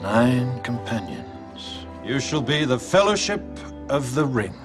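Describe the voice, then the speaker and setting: An actor playing a grave, commanding elf lord in an epic fantasy film, stern and ceremonious in delivery. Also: male voice, medium pitch, very animated, slow, over music.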